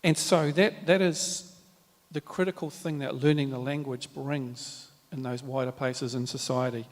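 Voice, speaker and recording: A man with a New Zealand accent, speaking at a live panel in a measured, reflective way with many fillers and restarts. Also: medium pitch, fairly even intonation. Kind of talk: formal panel talk with Māori greetings. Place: large hall at a live event. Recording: reverberant hall.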